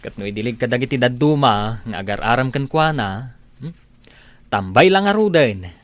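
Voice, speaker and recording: A man's voice: medium pitch, animated, normal speed, no room sound, close to an everyday voice. A man acts a breezy buddy in a radio drama. He sounds casual and encouraging, an everyday male voice talking to a close friend. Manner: casual, reassuring.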